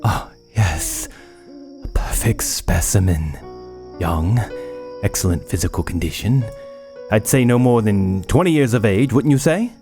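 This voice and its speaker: Man playing an arrogant mad scientist type, deep voice, bossy and exact, horror radio play. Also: animated, unhurried, over music. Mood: eager. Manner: imperious, clinical, precise. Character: arrogant, obsessive young male medical scientist. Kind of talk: audio drama acting.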